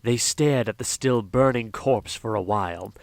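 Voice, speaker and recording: A man's voice: medium pitch, measured pace, no room sound. A man reading dramatic scripted narration as the narrator of an audio drama.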